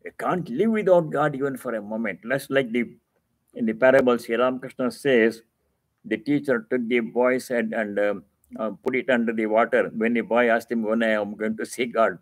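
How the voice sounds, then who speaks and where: A man with an Indian accent teaching scripture as a swami, explaining terms patiently and repeating key phrases for emphasis, with deliberate pauses. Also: medium pitch, dry recording, low-quality recording. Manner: explains Sanskrit terms didactically. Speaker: swami giving a religious discourse. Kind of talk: scripture reading with devotional commentary.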